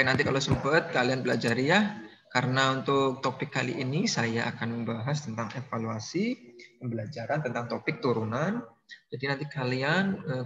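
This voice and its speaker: A man's voice: mid-range voice, fairly bright tone, highs cut off. Joking male math lecturer. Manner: playful, teasing, jokes with students; plays with mock regional accents. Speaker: university lecturer.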